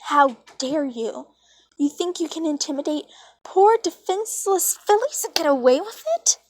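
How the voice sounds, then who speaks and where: A woman voicing a gentle female pegasus pony turned boldly protective, scolding indignantly, in an amateur story reading. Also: high-pitched, lively intonation, moderate pace.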